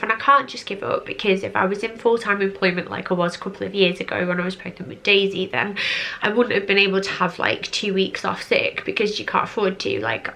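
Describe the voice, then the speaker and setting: A young woman in a casual vlog, hoarse and congested from a cold, chatting with lots of fillers and drawn-out repeated words. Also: medium pitch, fairly bright tone. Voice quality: croaky. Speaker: vlogger. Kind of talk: casual pregnancy update vlog.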